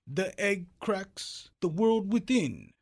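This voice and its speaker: Slow-talking, eccentric surrealist painter. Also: male voice, high-pitched, very animated, full character voice. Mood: cryptic. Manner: deliberate, theatrical, cryptic. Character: eccentric male surrealist painter, dreamy, cryptic, grandiose. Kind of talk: comic radio play acting.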